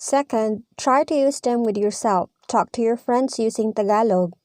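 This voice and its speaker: A woman reads a practice dialogue line by line in a measured, even way, playing both speakers and following each line with its translation. Her voice sits a little high. It is recorded close up in a dry space with no room sound. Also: no echo. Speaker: language teacher. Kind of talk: slow scripted reading of a dialogue with translations.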